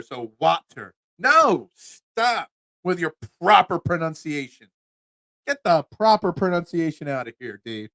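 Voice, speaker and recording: A man's voice: fairly high pitch, bright, forceful tone, no echo. A man in his forties with a Philadelphia accent, hosting a solo sports talk show and rambling with stammered restarts as he reads chat. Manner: rambling, stammering restarts, chatty asides to viewers.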